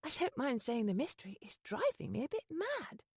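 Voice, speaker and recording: Brief: woman playing a flustered, earnest young lady, high and fluttery, lively swings, audiobook. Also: normal speed, husky, no room sound, studio quality, heavily acted.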